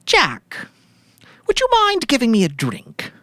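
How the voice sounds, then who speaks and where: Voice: mid-range voice, huge pitch swings, slow pace, a bit bright, no room sound, pitched above the performer's own voice, heavily acted. A performer voicing a tearful widow who speaks politely and pleadingly, with a false, coaxing sweetness, in a story reading. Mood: sweetly grateful.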